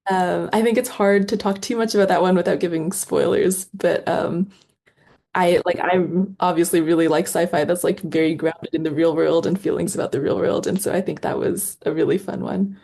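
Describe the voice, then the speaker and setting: A woman's voice: fairly low pitch, fairly even intonation, normal speed, gravelly, warm tone. A woman speaking as an author guest in a casual interview, reflective and thoughtful, often adding small conversational qualifiers.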